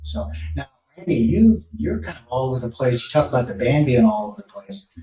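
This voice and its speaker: A man's voice: mid-range voice, lively intonation, a little fast, mellow. A man hosting a casual interview, prompting his guests with friendly questions. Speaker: interview host. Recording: roomy, from a distance.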